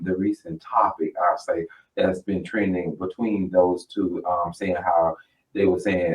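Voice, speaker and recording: A man hosting a talk show, chatting in an animated, rambling way full of fillers. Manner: animated, rambling, lots of fillers and jumps in pitch. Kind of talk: casual interview chat. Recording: distant mic, muffled lo-fi sound.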